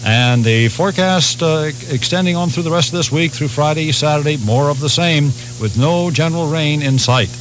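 A man's voice: fairly high pitch, normal speed, few pauses, poor audio. Male radio weatherman over faint hiss. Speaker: weather forecaster. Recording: hissy 1980s radio with hum.

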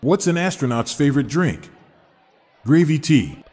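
A man's voice: a little high, big pitch swings, unhurried, a little mellow, in a large hall. A man hosting a podcast, reading dad jokes in an upbeat way and chuckling at his own punchlines. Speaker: podcast host.

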